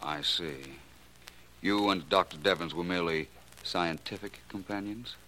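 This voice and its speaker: An actor playing a suave, probing gentleman detective in a 1940s radio drama, polite and pointed in his questioning. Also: sits low, unhurried, slight background noise. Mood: probing, wry.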